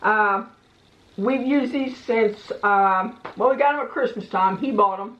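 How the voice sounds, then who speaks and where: A woman's voice: medium pitch, a little slow, a bit bright. Folksy lady doing a casual product review, kinda rambly, echoey room, slightly muffled audio. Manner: plain-spoken, folksy, meandering.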